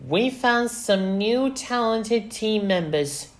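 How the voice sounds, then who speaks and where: A man puts on a confident young storybook woman with plenty of spirit. The voice sits high above a normal male range and speaks out firmly. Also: lively intonation, slow pace.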